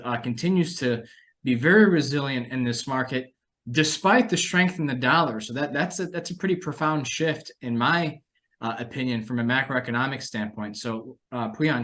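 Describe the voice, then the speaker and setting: A man's voice: mid-range voice, a bit bright. A man, hosting a conversational discussion of financial markets as an analyst.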